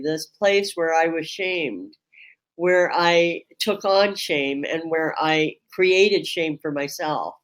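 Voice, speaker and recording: Slow, low-pitched woman with a carrying voice. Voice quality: strong voice that carries across a room. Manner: slow, measured, pausing often with ums. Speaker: Reiki teacher hosting a webinar. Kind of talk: reflective webinar talk. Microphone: webinar microphone.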